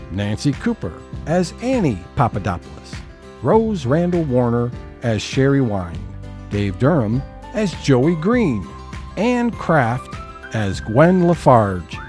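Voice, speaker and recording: A man's voice: medium pitch, sing-song, slow, a little mellow, soft background music. A man hosting an audio theater program, reading scripted credits as an announcer. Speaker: host and announcer.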